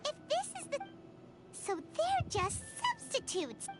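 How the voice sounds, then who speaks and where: A woman voicing a tiny, chatty, excitable fairy-like companion girl in a video game. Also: very high voice, exaggerated voice. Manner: excitable, exclaiming, quick reactions. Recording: game audio with loud music behind.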